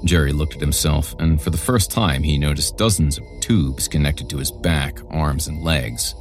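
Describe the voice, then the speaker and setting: A man narrating a scripted horror story in a dramatic, deliberate storytelling manner. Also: very deep voice, soft background music.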